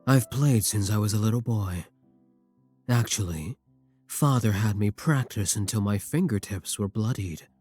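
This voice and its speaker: A man playing a brooding, tender vampire king in intimate ASMR roleplay, husky and courtly, with formal endearments and hesitant pauses. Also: lowish voice. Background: soft music.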